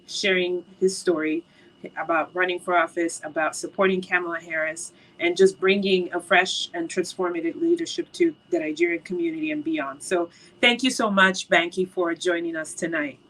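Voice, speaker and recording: A woman's voice: on the low side, fairly bright tone, poor audio. A woman co-hosting a call, reading a formal, praising introduction of the next speaker over a video call. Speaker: event co-host introducing a speaker. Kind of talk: read introduction.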